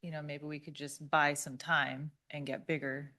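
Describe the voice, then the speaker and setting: Low, flat-voiced woman commissioner. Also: moderate pace. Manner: conversational, asks practical questions. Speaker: planning commissioner. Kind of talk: public meeting discussion.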